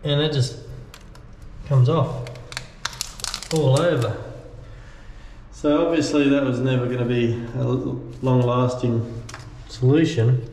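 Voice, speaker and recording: A man's voice: mid-range voice, dark tone. A man in his thirties giving a casual, plain-spoken walk-through of repair work, thinking aloud as he goes. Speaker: boat restorer and vlogger. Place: inside a bare steel boat hull under restoration. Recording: echoey metal room, background noise.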